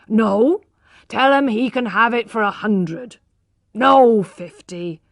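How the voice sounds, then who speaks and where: A pompous, blustering old country father from a storybook, as a woman reader puts him on. He declares his terms loud and slow, with a haggling, self-important air. The recording is close and dry. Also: a little high, vocal fry, no room sound.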